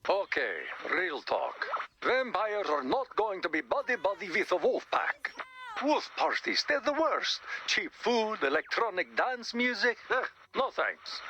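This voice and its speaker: Swooping, haughty old-school vampire. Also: male voice, high-pitched, big pitch swings, measured pace, bright, forceful tone, a little hiss or hum, heavily acted. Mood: disdainful. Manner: haughty, theatrical, scornful. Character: snobbish, curmudgeonly male vampire, dismissive and evasive. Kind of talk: video game character voice acting.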